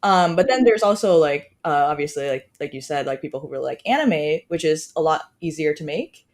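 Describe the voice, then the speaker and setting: A woman's voice: deep voice, expressive, brisk, darkish tone. A woman, a startup co-founder, explaining casually on a podcast with many fillers and trailing phrases.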